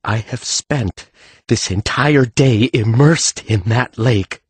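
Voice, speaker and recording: An actor playing an irascible, self-important priest, speaking with pompous indignation in a fantasy audiobook. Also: male voice, medium pitch, slow, breathy edge, no echo. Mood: indignant outrage. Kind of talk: full-cast fantasy audiobook acting.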